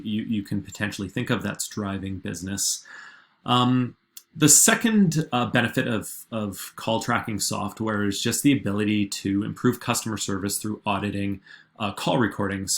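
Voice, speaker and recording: A man giving an informal software tutorial as a presenter, explaining in a halting way with frequent filler words. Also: on the low side. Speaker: digital marketing agency presenter.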